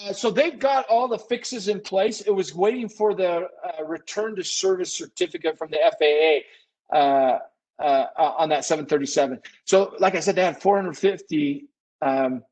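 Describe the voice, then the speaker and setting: Higher-pitched man hosting a webinar. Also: darkish tone, low-quality recording. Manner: conversational, thinking aloud, self-correcting. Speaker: webinar presenter, business consultant. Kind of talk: informal live webinar Q&A.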